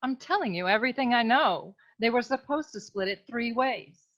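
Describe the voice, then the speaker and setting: A woman acts a troubled noir dame in a parody radio play, earnest and pleading. Her voice sits fairly high, and the recording is slightly dull and lo-fi. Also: expressive, moderate pace, fairly bright tone. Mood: worried, earnest. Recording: dull, muffled lo-fi audio.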